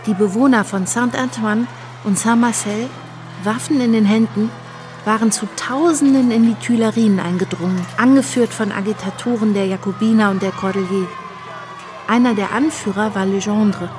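An actress playing a reflective woman recalling revolutionary times in a radio play, in measured, sober first-person narration. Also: medium pitch, a little mellow, soft background music, close to an everyday voice. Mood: sober, wry.

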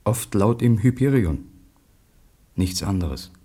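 An actor playing an earnest acquaintance giving sober, measured testimony in a radio drama, in a husky voice. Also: male voice, fairly low pitch, expressive, dark tone. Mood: concerned, sober. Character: earnest male acquaintance recalling a mad poet.

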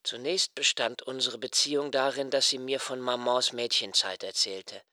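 An actor playing a young nobleman who narrates a literary radio play in a slow, hushed, reflective way. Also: medium pitch, steady tone, no echo, clean studio sound. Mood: musing. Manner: slow, hushed and reflective, dropping to near whispers. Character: young nobleman Malte, brooding poetic narrator.

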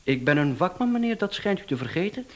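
A man's voice: high voice, very animated, fast. An actor playing a cocky, businesslike hitman in a radio play, formal and easily offended. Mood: indignant, boastful. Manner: formal, smooth-talking salesman patter, indignant.

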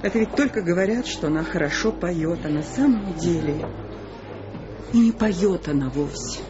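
An actress playing a nervous, envious orchestra musician gossiping dramatically in a radio play. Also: female voice, on the low side, expressive, moderate pace, close to an everyday voice. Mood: spiteful. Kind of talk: Russian radio play dialogue. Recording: narrow-band, music underneath.

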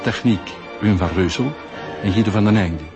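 A man's voice: fairly low pitch, moderate pace. A man announcing a radio play and its credits in a scripted style. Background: music.

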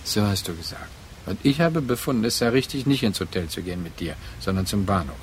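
An actor playing a brooding man haunted by a former lover, speaking in a measured, deliberate way in a radio drama. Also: fairly low pitch, animated, natural voice, poor audio. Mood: brooding, remembering. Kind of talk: radio drama acting, slow reflective monologue. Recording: faint background noise.

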